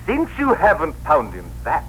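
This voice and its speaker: Imperious bureaucrat boss, officious, theatrical pitch movement, old radio drama with faint noise. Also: male voice, wildly swooping pitch, normal speed, a bit bright. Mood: stern. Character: pompous, irritable bureaucratic director, male boss. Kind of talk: 1960s radio drama acting. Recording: noisy vintage radio broadcast recording.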